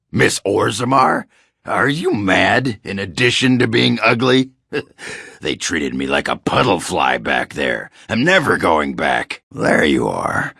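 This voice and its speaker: An actor playing a gruff, crude, boozy male dwarf warrior in a video game, with a raspy growl and a coarse, blustering, grumbling manner. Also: very deep voice, a bit bright, no echo, studio quality, heavily acted. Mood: indignant, then awkward, gruff. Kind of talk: video game character dialogue.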